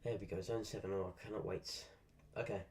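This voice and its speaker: A man's voice: sits low, fairly even intonation, fairly quick, darkish tone. A man chatting casually while live-streaming a game, rambling through asides and swearing at the game now and then. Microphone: desk microphone.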